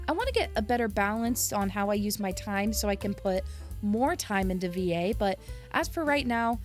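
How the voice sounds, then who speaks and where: A woman narrating a vlog in an enthusiastic, earnest way. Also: a little high. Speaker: aspiring voice actor, content creator.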